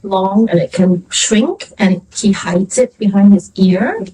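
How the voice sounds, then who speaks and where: A woman from Singapore speaking casually on a festival panel, hesitant with many pauses. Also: mid-range voice, expressive, mellow, slightly rough, far from the mic, poor audio. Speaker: author on a panel. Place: festival venue.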